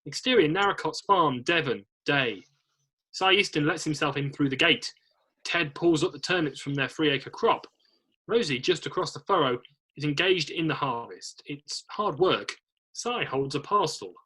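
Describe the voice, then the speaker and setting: Casting: male narrator for a screenplay reading, bright forceful tone with a husky edge. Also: a little high, expressive, normal speed, low-quality recording. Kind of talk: scripted reading of screenplay stage directions.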